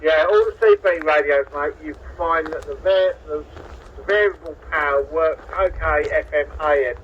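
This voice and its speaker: A man's voice: on the high side, normal speed. A British man talking casually over CB radio, explaining technical points in an informal, matey way. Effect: CB radio transmission. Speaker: CB radio operator. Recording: dull CB radio audio, faint noise.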